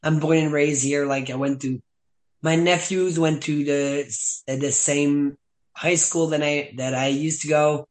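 A man's voice: a little high, steady tone, measured pace. A young man from Quebec with a French-Canadian accent, chatting casually as a guest, full of "like" fillers. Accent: Québécois French. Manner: rambling, many "like" fillers, laughs often. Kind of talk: casual chat.

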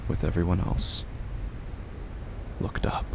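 A man with a gravelly, breathy voice narrating a horror audio drama in an intense, dramatic, deliberate way. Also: noisy background. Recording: loud ambient sound effects under voice.

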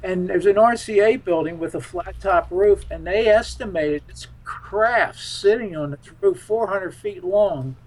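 Male interview guest: notably high-pitched voice, conversational, meandering storyteller who doubles back on phrases. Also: darkish tone, poor audio. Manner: halting, rambling, repeats phrases. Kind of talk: conversational interview, recounting anecdotes.

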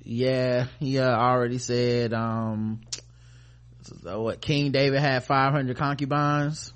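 An American man with a medium-pitched voice talks through the news as a podcast co-host. His delivery is casual and conversational, full of slang and profanity. He comes across as sardonic, commenting bluntly as he goes. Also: level tone, slow, fairly bright tone, smooth.

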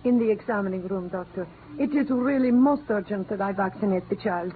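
An actress playing an earnest, dedicated clinic nurse with a Latin American accent in a 1950s radio drama. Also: female voice, medium pitch, brisk, lightly acted. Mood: earnest, worried. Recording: tinny old radio broadcast, light noise.

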